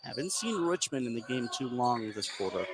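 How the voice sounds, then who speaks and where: A man's voice: medium pitch, very animated, brisk. A man with an American accent giving conversational live analysis as a basketball color commentator. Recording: clear gym noise behind. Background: basketball game in a gym.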